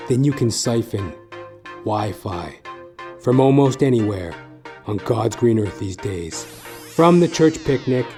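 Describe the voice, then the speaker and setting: A man playing a sardonic, world-weary private detective, narrating deadpan in hard-boiled style for an audio dramedy. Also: medium pitch, natural voice. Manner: wry, deadpan wisecracking. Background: music behind the voice.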